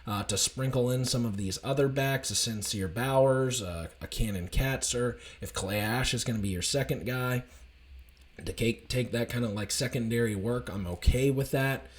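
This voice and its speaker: A man with a slightly raspy, hoarse voice, giving an enthusiastic, rambling casual recap of a college football game. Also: medium pitch, normal speed. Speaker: college football fan commentator.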